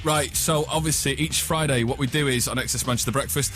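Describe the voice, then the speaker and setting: A man's voice: medium pitch, fairly even intonation, fairly quick, fairly bright tone, faint music behind. A man hosting radio, chatting informally and jokily with guests in a studio. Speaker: radio breakfast show host. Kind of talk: casual live radio chat with guests. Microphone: studio microphone.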